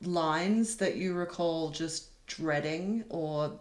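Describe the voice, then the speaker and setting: A woman's voice: low-pitched, slow. A woman hosting a panel in a casual interview style. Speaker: panel host. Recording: echoey room.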